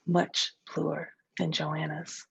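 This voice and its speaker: Woman acting a husky, knowing girl companion. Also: sits low, level tone, takes it slowly, no room sound, voice pushed down, exaggerated voice. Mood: soothing, placating.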